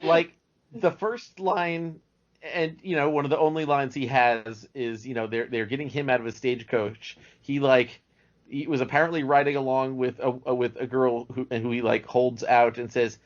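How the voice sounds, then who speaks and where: A man's voice: medium pitch, fairly even intonation, normal speed. A man talking casually as a guest on a podcast about cartoons. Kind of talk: casual chat, cartoon commentary. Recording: dull lo-fi audio.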